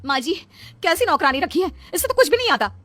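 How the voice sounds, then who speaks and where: A headstrong young daughter-in-law from a comic cartoon tale , voiced by a woman, complains and gives orders. The voice is high and lively, with music underneath. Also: fast talker, heavily acted. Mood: indignant. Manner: demanding, complaining. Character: strong, bossy, indignant young daughter-in-law. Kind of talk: comic Hindi moral-story cartoon acting.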